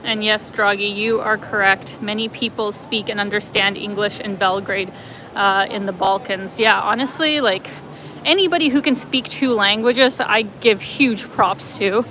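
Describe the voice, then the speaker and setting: A woman's voice: medium pitch, measured pace. Woman, travel vlogger, casual livestream chat, outdoors, street traffic behind, filler-heavy. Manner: chatty, lots of fillers, laughs often. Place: outdoors on a city street, light rain. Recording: clear street noise behind. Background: city traffic and passing vehicles.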